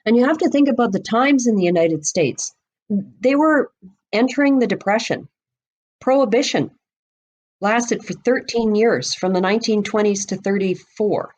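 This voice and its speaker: A woman's voice: mid-range voice, normal speed, a little mellow, low-quality recording. A woman speaking as an expert guest in a podcast interview, explaining things in an emphatic, conversational way.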